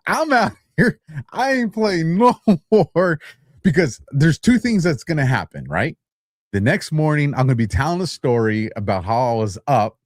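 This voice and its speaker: A man's voice: mid-range voice, dry recording, studio quality. A man co-hosting a podcast in casual chat, rambling through a story in a self-deprecating way. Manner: rambling, self-deprecating, frequent restarts and laughs. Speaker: podcast co-host.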